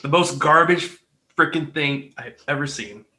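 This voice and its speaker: A man's voice: a little high, unhurried, sharp tone. A man chatting casually as a panelist, blunt, salty and sarcastic. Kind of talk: casual opinionated chat.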